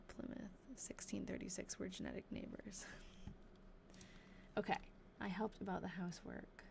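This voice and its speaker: A young woman with a New England accent talks casually on a live stream, reading lines from an old diary slowly and chatting in between. Her mid-pitched voice has a gravelly edge. Faint noise and keyboard clicks sit behind her. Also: a little mellow. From New Hampshire. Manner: chatty, thinks aloud, reads slowly. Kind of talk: casual livestream chat and slow diary reading.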